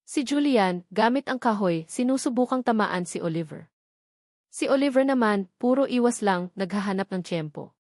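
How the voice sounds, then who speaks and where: A woman's voice: medium pitch, animated, moderate pace, darkish tone, dry recording, studio quality. Woman narrating a dramatic love story. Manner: earnest, dramatic.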